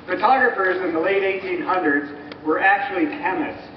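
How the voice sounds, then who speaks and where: High-voiced man presenting in an echoey room. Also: moderate pace. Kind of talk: scripted educational narration to a live audience. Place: large room at a live event, far microphone. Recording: echoey, distant, muffled, noisy live recording.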